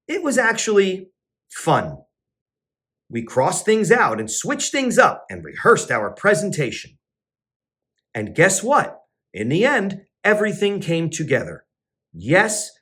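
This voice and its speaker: A man, a teacher and children's entertainer, reading a picture book aloud with expressive storybook narration. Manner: expressive storybook narration with sing-song pitch swings.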